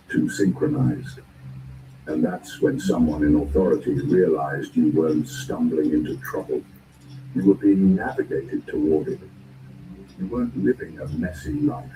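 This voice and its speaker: Low-pitched male narrator, scripted spoken word, deliberate phrasing, echoing room, noisy distant recording.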